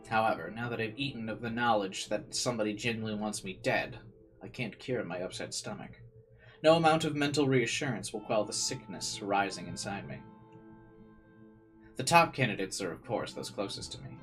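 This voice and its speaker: A man with a fairly low voice reads visual novel narration aloud as a let's player. He mixes dramatic reading with casual commentary, and faint game music sits behind him. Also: fairly bright tone.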